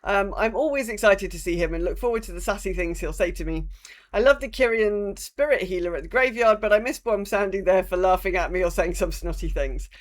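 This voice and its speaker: Woman, podcast co-host, medium pitch, casual conversational delivery, emphatic repeats. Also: fairly quick. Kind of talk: casual chat.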